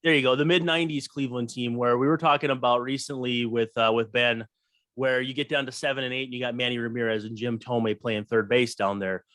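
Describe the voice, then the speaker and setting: A thirty-something American man co-hosts a podcast in a casual, chatty interview style. His voice is medium in pitch and recorded close and dry, with no room sound. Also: steady tone, fairly quick, fairly bright tone, no echo. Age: 30s.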